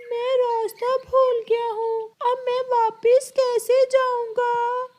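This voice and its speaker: A woman's voice: very high-pitched, flat delivery, slow, darkish tone, a little hiss or hum. A woman voicing a naive male parrot in a cartoon, with a plaintive manner. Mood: tearful, lost.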